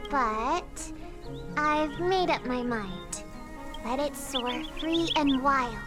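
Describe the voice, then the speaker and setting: A woman's voice: high voice, drawn-out words, over music. An actress voicing a sweet, innocent little girl in a game, earnest and a little hesitant. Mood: wistful, earnest.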